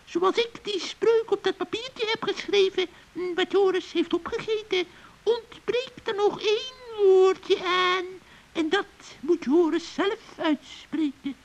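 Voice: very high-pitched, takes it slowly, gravelly, lo-fi sound, heavily acted. A performer playing a sly old forest witch in a children's radio play, gloating and giggling as they mutter to themselves. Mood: gleeful scheming.

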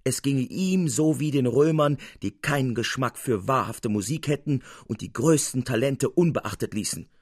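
A man narrating a scripted literary tale for radio as an expressive, theatrical storyteller. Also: medium pitch, moderate pace, few pauses, slightly rough, no room sound, studio quality.

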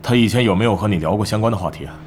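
Low-voiced, stern club manager played by a male actor. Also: racing, a little mellow, a little hiss or hum, close to an everyday voice. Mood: probing. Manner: commanding, interrogating. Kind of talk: Mandarin audio drama acting.

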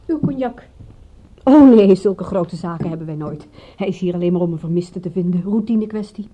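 An actress in a radio drama playing a private detective's shrewd, guarded assistant, with a dry, sardonic manner. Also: female voice, fairly low pitch, normal speed, mellow, a little hiss or hum, close to an everyday voice. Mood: guarded, casual. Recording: muffled, dull old radio recording.

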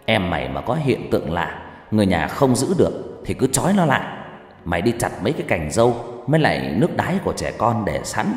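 A man's voice: low voice, a little mellow. A man voicing an angry old village sorcerer who scolds and commands bluntly in a dramatized horror story. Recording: echoey hall reverb.

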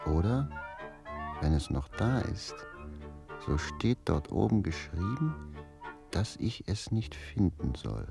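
Tipsy fatalist servant, radio play. Very low, creaky voice, animated delivery, music underneath. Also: male voice, slow, pauses often, heavily acted. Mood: tipsy, resigned. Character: tipsy, fatalistic servant, stubbornly easygoing man. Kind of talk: German radio play acting.

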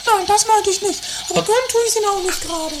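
A woman's voice: very high-pitched, quick pace, noisy background. A woman playing a quarrelsome, theatrical sister in a comedy radio play. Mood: grudging. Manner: theatrical, bickering. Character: quarrelsome, dramatic woman, one of two castle-dwelling sisters.

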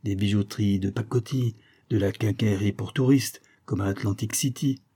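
A gruff, suspicious tourist husband acted by a male narrator in an audiobook story. He grumbles sardonically in a colloquial, husky voice with little shine to it. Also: fairly low pitch, fairly even intonation, fairly quick, dark tone. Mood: scornful.

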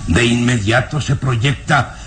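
A man playing a proud, sinister nobleman and father in a radio serial, speaking in a haughty, menacing, deliberate way. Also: medium pitch, fairly bright tone, slight background noise, close to an everyday voice. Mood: indignant. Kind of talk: Spanish-language radio serial acting.

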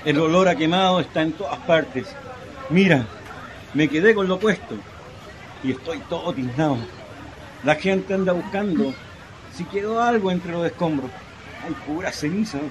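Male actor for desperate Chilean shantytown husband, radio play; high-pitched, earnest, heartfelt, against heavy background noise. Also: moderate pace, long pauses, close to an everyday voice. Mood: devastated. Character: desperate poor shantytown husband, consoling and resolute. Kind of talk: radio drama acting. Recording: noisy, with crowd ambience behind.

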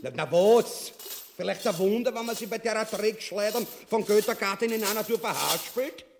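An actor playing a pompous, crude, irascible tyrant in a farcical radio play, mixing grand speech with coarse abuse in an Austrian accent. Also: male voice, sits high, normal speed, creaky, noisy background. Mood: irritated, threatening. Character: pompous, crude, irascible tyrant presiding over his ministers. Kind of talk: theatrical farce radio acting.

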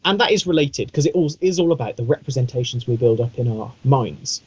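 A man's voice: mid-range voice, animated, brisk, a little mellow, slightly rough, dull audio. A man chatting casually as a podcast co-host, with a wry, self-mocking and discursive way of talking.

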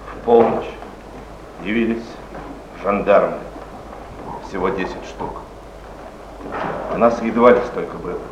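An actor playing a solemn, grieving nineteenth-century friend in a deliberate dramatic monologue on stage. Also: male voice, low-pitched. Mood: grave, grieving. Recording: noisy, muffled, echoey stage recording.

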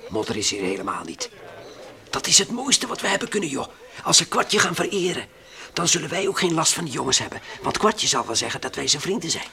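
An actor playing a brave, level-headed space adventurer in a Dutch radio play, hearty, reassuring and gently scolding. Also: male voice, on the high side, sing-song, fairly quick, vocal fry, exaggerated voice. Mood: chiding.

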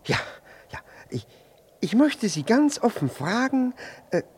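An actor playing a middle-aged gentleman in a radio play, fussy, over-apologetic and nervously insistent, stammering and repeating himself. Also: very high-pitched, very animated, measured pace, slightly rough, heavily acted. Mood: nervous, pleading. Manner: stammering, hesitant, repeats words anxiously. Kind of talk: 1960s German radio play acting.

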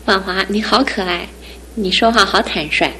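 Woman playing a calm, caring young bride. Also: medium pitch, moderate pace, slight background noise, close to an everyday voice, rough recording. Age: young adult. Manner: warm, calm, gently firm. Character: caring new bride, steady and sensible. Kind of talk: 1970s radio drama acting.

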